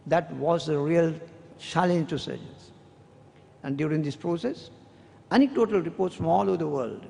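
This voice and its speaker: A man who is a surgeon with a Sri Lankan English accent gives a formal academic address, speaking in a measured, deliberate way with slow, emphatic stretches. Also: a little high, vocal fry, dark tone. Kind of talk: formal prepared speech, reading a long quotation. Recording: long hall reverb.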